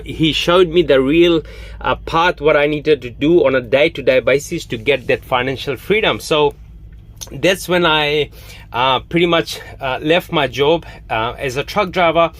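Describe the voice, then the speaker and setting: Man in his thirties, slightly high voice, casual pitch to camera, halting delivery. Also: a bit bright. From Fiji. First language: Hindi. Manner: halting, many fillers and restarts. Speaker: online business promoter.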